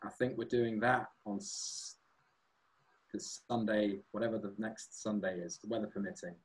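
Relaxed male singer chatting as guest. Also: fairly low pitch, normal speed, low-quality recording. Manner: relaxed, rambling, self-deprecating. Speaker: guest, singer and guitarist in a band. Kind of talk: casual chat.

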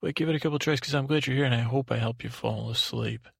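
Very gravelly, rambling male podcast host. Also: mid-range voice, dry recording, clean studio sound. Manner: meandering, halting, circling back on phrases. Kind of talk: rambling, absurdist bedtime monologue.

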